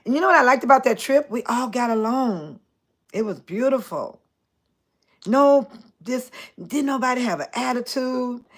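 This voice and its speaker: A woman in her sixties hosting a casual livestream, chatting warmly with viewers by name and laughing often. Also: fairly high pitch, lively intonation, moderate pace. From Chicago area. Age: 60s. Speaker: livestream host. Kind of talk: casual livestream chat reading viewer comments.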